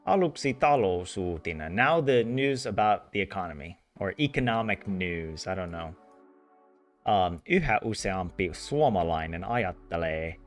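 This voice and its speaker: Chatty man rambling over soft music. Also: on the low side. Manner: rambling, chatty, with frequent laughs and restarts. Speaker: streamer learning a language. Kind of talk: casual live-stream chat and translation.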